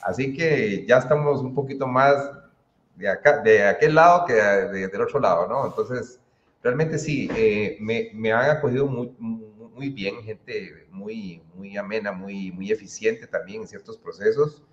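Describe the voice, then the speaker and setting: A man's voice: lowish voice, a little slow. A Costa Rican man in his thirties giving casual interview answers as a guest musician on a roomy, muffled, noisy video call. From Costa Rica. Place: indoor room, roomy.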